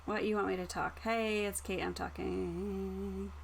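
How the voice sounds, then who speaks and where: Female co-host, medium pitch, informal and chatty livestream delivery, slight noise behind the voice. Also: flat delivery, a little slow, vocal fry, low-quality recording. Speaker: channel co-host. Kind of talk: casual chat on a livestream. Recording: faint background noise.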